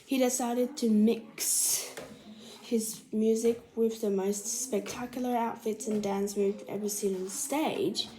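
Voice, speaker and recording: A woman reading a children's biography aloud like a storybook, carefully and a little haltingly. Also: on the high side, fairly even intonation, noisy background. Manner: careful, halting reading with stumbles and self-corrections. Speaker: storybook reader.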